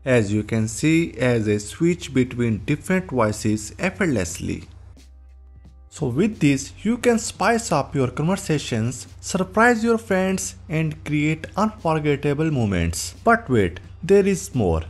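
A man with a slightly high voice narrates a scripted tech tutorial. His intonation rises and falls in an animated way, and faint music plays behind him. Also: slow pace. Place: voice-over recording. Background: background music.

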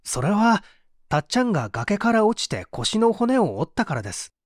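A man's voice: high-pitched, very animated, normal speed, dry recording, clean studio sound, voice pushed up. A man voicing a distressed, defensive murder suspect under interrogation in a dramatic audiobook, agitated and pleading as he protests. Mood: defensive, insistent.

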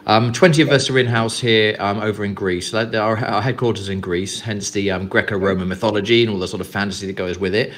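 A man's voice: lowish voice, fairly even intonation, quick pace, hardly any pauses, fairly bright tone. A man from England, interviewed as a guest, answering in a loose chat with many fillers and repeated words. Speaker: guest, company representative. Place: Greece.

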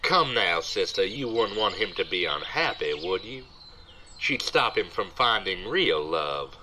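A man playing a smooth-talking rogue's buddy in an audio drama, telling his tale earnestly and dramatically. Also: low voice, big pitch swings, a little slow, background noise. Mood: persuasive. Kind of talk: audio drama acting, storytelling.

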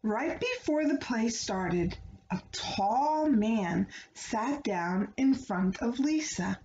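A middle-aged woman reads a children's storybook aloud in an expressive, deliberate way. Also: on the high side. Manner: expressive, deliberate phrasing for young listeners. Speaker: children's book reader. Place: small living room, indoors. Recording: roomy, dull home recording with background noise.

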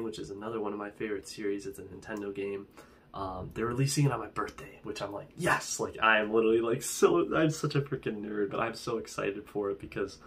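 A man's voice: on the low side, a bit bright, a little hiss or hum. A young man talking casually to the camera in a vlog, chatty and rambling with lots of fillers, in a small room. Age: young adult. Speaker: fitness vlogger. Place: small indoor room with wood-panel wall.

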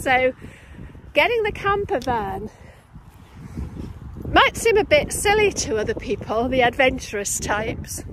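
A woman in her late fifties talking reflectively to camera, recorded outdoors on a phone held at arm's length. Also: sits high. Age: late 50s. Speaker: vlogger. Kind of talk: personal reflective vlog to camera. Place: outdoors in open countryside on a walk. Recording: clear outdoor background noise.

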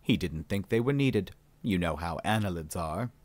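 A man reading an audiobook, voicing a calm, condescending male alien scientist with polite, mocking, unhurried speech. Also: low voice, dry recording. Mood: smug, condescending.

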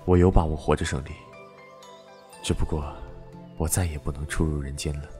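A man acting a calm, teasing and devoted supernatural fighter in a Chinese costume audio drama. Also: extremely low pitch, normal speed, soft background music. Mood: solemn, gentle.

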